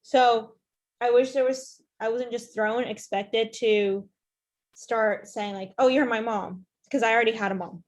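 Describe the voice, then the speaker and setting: A woman's voice: fairly high pitch, fairly even intonation, measured pace. A young woman from Ethiopia whose first language is not English, speaking earnestly on a panel about foster care with many fillers and restarts. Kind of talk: panel discussion.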